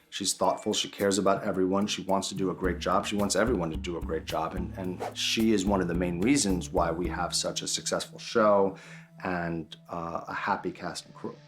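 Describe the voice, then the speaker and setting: A man, a producer of the show, talking easily and full of praise in an interview. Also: sits low. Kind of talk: featurette interview.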